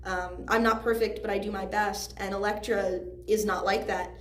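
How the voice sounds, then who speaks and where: A woman's voice: medium pitch, fairly even intonation, normal speed, fairly bright tone. A teenage girl, a student actor, giving earnest, reflective answers in a casual interview, often hedging as she goes. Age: teens, high school student. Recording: roomy echo, faint background noise.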